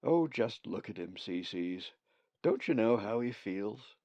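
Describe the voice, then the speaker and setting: A man voicing a wise female great raven who leads the flock, calm and reassuring. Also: fairly low pitch, unhurried, no room sound, muffled recording. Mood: kindly.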